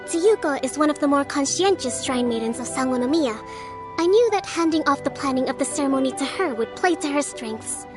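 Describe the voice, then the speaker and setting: An actress playing a composed young female island leader in a video game, speaking in measured, formal phrases. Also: high voice, lively intonation, music in the background. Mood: courteous, composed. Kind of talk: video game dialogue acting.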